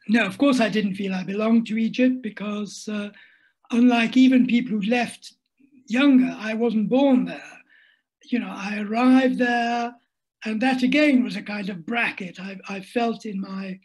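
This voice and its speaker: Husky older man, thoughtful and hesitant. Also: sits high, a little mellow, low-quality recording. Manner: thoughtful, hesitant with many restarts. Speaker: interviewee, writer. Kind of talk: reflective conversational interview. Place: home room at a desk. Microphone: laptop webcam on a video call.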